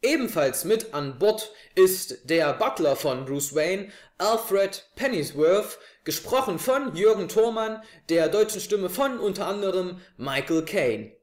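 A young man hosts a review show with a fairly high voice. He presents to camera in a living room, and the recording is clearly echoey. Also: lively intonation, unhurried, few pauses. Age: 20s. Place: small living room, indoors on a couch.

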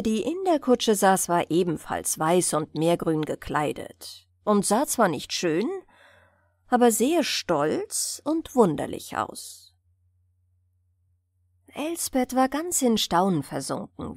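A woman narrating a fairy tale audiobook as a bedtime story, expressive and clearly enunciated. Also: mid-range voice, no room sound, studio quality.

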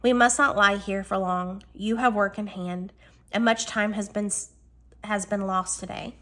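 Woman reading as great calm wise lion. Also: mid-range voice, unhurried, lightly acted. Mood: grave, calm. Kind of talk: storybook reading.

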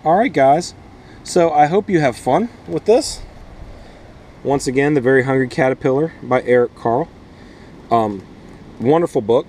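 A man's voice: medium pitch, expressive, normal speed, long pauses, a little mellow, slight background noise. A man in his thirties, a schoolteacher, speaking informally to children and parents and explaining things step by step in simple words. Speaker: schoolteacher host. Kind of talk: read-aloud and informal lesson addressed to children and parents. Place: inside a small plastic-sheeted wooden greenhouse.

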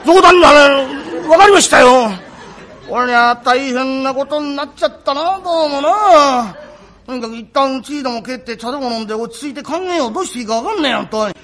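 Man playing a creaky, grumbling, hapless husband. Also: extremely high pitch, very fast, hardly any pauses, fairly bright tone. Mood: flustered, bewildered. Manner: rough colloquial speech, complaining, flustered. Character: hapless, grumbling henpecked working-class husband on an errand. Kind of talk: rakugo comic storytelling dialogue.

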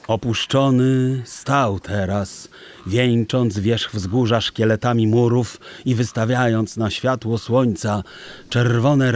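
A man narrating a children's story in a theatrical, deliberate, expressive literary style. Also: lowish voice. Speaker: audiobook narrator.

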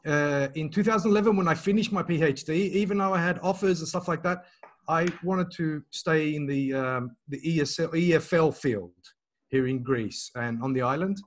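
A man's voice: a little high, normal speed. A man in his forties from Australia, talking hesitantly with many ums and restarts on a video call. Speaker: language school owner. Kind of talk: casual video call chat.

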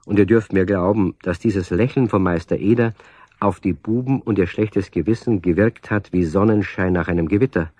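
A man playing the storyteller of a children's radio play, narrating in a husky, measured, confiding voice. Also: deep voice, dark tone, no room sound. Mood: storytelling, confiding. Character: male storyteller addressing child listeners.

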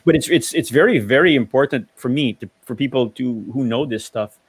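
A man's voice: mid-range voice, a little fast, husky, no echo. A middle-aged man in a casual panel discussion about driving, explaining at length and often restarting sentences, on a video call from a home study. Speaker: motoring panelist.

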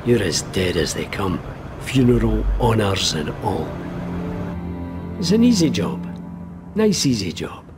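An actor playing a persuasive spy recruiter in a video game, informal, matey and insistent. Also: male voice, on the low side, sing-song, a little slow, long pauses, music in the background. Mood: brisk. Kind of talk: video game cutscene acting.

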